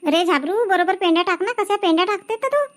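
A woman's voice: very high-pitched, fast. A woman voicing a nagging village field worker in a cartoon comedy, with a rural Vidarbha Marathi accent and blunt, colloquial chatter. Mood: nosy, scolding. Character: nagging village women working in a paddy field.

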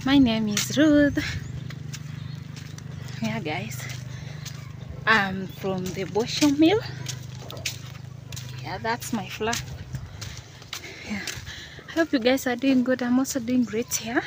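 A woman's voice: a little high, lively intonation, slow, long pauses. A young Kenyan woman vlogging to a phone at arm's length outdoors in green countryside, talking earnestly and repeating herself as she pleads. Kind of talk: selfie vlog to camera. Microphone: phone held at arm's length. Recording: outdoor phone recording with clear background noise.

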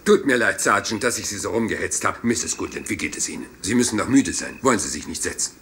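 Casting: rumpled, apologetic detective inspector, low voice swinging widely, dogged courtesy, crime radio play. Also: male voice, expressive, quick pace, gravelly, a bit bright. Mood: chatty, courteous. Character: rumpled, polite, dogged male police inspector.

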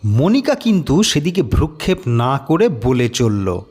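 Audiobook narrator: man, deliberate first-person storytelling, highly animated melody, dry close sound. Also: slightly rough, no echo.

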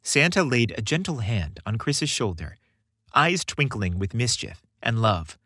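Male narrator, scripted fiction, very animated pitch, dry close sound. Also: a little slow, no echo. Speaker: story narrator. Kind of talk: scripted audiobook-style narration.

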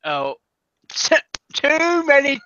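A man's voice: extremely high pitch, takes it slowly, highs cut off, background noise. A British man co-hosting a livestream, joking and chatting with the viewers. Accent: British English. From Britain. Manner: animated, joking, talks to the chat. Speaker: livestream co-host. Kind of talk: casual chat.

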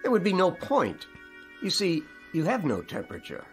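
An actor, a man, playing a reasonable family doctor in a radio drama, measured, tactful and reassuring. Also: high-pitched, huge pitch swings, faint music behind, exaggerated voice. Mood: patient, matter-of-fact. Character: patient, reasonable male family doctor, gently candid.

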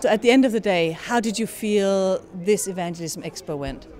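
A woman's voice: medium pitch, moderate pace, darkish tone. A woman reporter delivering event narration and putting interview questions to guests.

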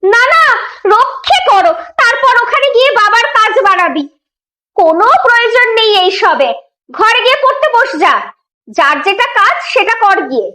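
A woman's voice: very high voice, very animated, moderate pace, bright, forceful tone, heavily acted. A woman voicing an exasperated, sarcastic, scolding village mother in a children's cartoon. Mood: stern.

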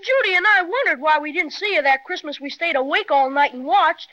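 An eager, wide-eyed small boy in 1930s radio style. The voice is extremely high and piercing. The delivery is plucky and boyish, full of gee-whiz wonder. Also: fairly quick, bright, forceful tone, dull audio. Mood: shy, eager.

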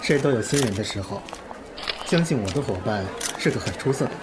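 Man acting haughty male pop star, swinging pitch. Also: lively intonation, brisk, noisy background, close to an everyday voice. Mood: smooth, diplomatic. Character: proud, vain young male pop star. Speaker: voice actor in fan audio drama. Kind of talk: radio drama acting. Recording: music under the voice.

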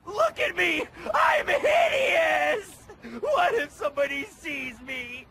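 A man voicing a brash, scheming cartoon boy for a video game, pushy, excitable and nasal. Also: very high-pitched, slow pace, shouting, over loud music, exaggerated voice. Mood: panicked.

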